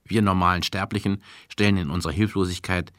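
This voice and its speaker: A man narrating a radio play in a scripted, reflective monologue, musing in a wry, measured way. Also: sits low, fairly even intonation, fairly bright tone, slight background noise. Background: music.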